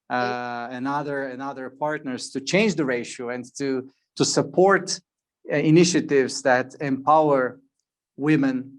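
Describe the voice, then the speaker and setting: A man hosting a virtual interview, speaking hesitantly with many fillers. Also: mid-range voice. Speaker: interviewer, host.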